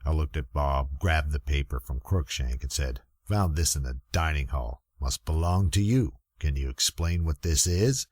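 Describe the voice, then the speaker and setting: A gruff, weary police lieutenant played by a man tells a hardboiled radio mystery. His voice is extremely deep and rough with husk, recorded close and dry. He grumbles along in a wry, folksy way. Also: animated, normal speed, few pauses, darkish tone, no echo. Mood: grumbling, probing. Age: middle-aged. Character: gruff, weary old-school male police lieutenant narrating hardboiled detective story. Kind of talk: old time radio murder mystery narration.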